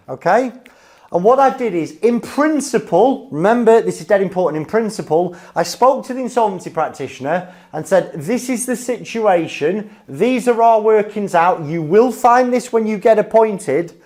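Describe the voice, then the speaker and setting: A man's voice: high voice, a little mellow. A Northern English man telling business anecdotes informally, blunt and emphatic, often checking in with "okay?" or "right?". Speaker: insolvency adviser.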